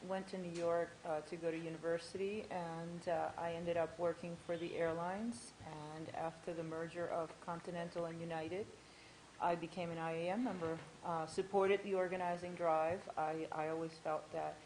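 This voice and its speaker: A woman from Puerto Rico, a union member, answering questions in a studio interview with frequent pauses. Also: on the low side, little pitch movement, darkish tone, slight background noise, rough recording.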